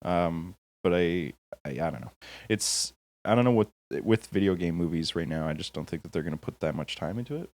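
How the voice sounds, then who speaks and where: Guy with a deep voice just chatting on a podcast, kinda rambly, restarts his sentences. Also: lively intonation, brisk, hardly any pauses, darkish tone, no room sound. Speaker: gaming podcast host. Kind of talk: casual opinionated group chat.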